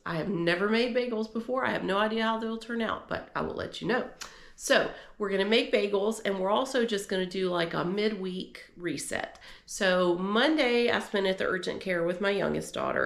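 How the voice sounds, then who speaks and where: A woman in her forties talks to the camera from her home office, stuffy-nosed from a cold. Her voice sits low with a gravelly fry, and the room adds a noticeable echo. She rambles along with fillers, friendly and encouraging. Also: fairly bright tone. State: congested from a cold. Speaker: homemaking vlogger. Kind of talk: casual chatty vlog.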